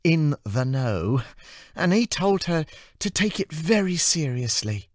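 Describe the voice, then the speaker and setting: A man's voice: on the high side, sing-song, slow pace, no echo, voice pushed up. A man voicing a cheerful, gossipy young female office assistant in an audiobook, chirpy, breathless and earnest.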